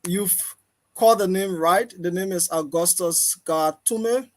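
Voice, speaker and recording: High-pitched Liberian man, deliberate speaker. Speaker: guest, political talk show host. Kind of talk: interview answers, self-introduction.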